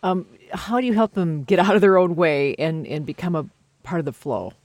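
A woman's voice: on the low side, normal speed, a little mellow, no echo. An American woman hosting a public radio interview, asking questions and handling introductions and sign-offs.

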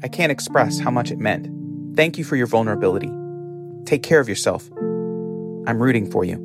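A man's voice: medium pitch, expressive, brisk. A man giving a prepared, supportive message as a podcast listener. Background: guitar music.

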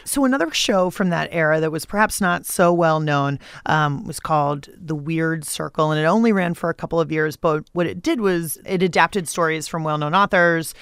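A woman with a lowish voice hosts a radio interview. She is casual and playful in her phrasing, recorded dry and close in a studio. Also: normal speed, hardly any pauses, smooth, dry recording. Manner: playful, informal, slangy. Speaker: interviewer, show host.

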